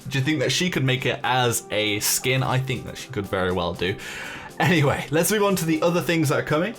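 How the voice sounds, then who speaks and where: Man, mid-pitched voice, gaming host, casual news commentary, informal and tentative, music playing behind. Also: fairly bright tone. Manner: informal, tentative, thinking aloud.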